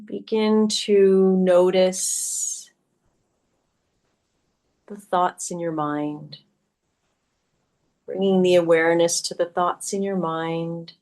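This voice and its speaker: Female meditation guide, slightly low register, unhurried and gentle, soothing instruction with long pauses. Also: fairly even intonation. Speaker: yoga teacher. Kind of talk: guided meditation, yoga nidra.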